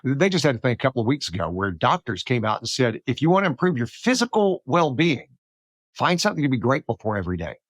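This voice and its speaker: A man's voice: mid-range voice, sing-song, fast talker, no echo. A man from Alabama hosting a podcast interview, chatty and eager, often stumbling and restarting his questions. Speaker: podcast host.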